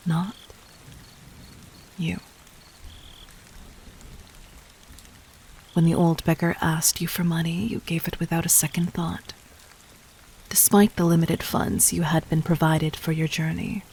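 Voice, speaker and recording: A woman playing a calculating, commanding demon queen in an audio roleplay, speaking formally and deliberately in a soft voice that often dips toward a whisper. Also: fairly low pitch, flat delivery, creaky, a little hiss or hum. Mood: measured, gentle. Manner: measured, regal, formal, deliberate. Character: calculating, commanding demon queen toying with her would-be assassin. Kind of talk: scripted audio roleplay addressed to the listener.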